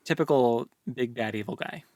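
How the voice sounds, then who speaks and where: Male co-host, medium pitch, dry sound, informal conversational delivery with paraphrased asides. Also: normal speed, mellow, no echo. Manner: informal, acts out paraphrased lines in passing. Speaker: book discussion podcast co-host. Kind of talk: casual book chat.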